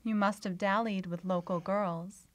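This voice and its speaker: A beguiling young woman healer in a radio play, played by a woman, enigmatic and measured in her old-fashioned phrasing. Her voice sits at a medium pitch and is recorded close and dry. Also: fairly even intonation, no room sound, close to an everyday voice. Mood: coy, probing. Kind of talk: radio drama acting. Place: small indoor radio studio. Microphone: shared vintage-style studio mic on stand.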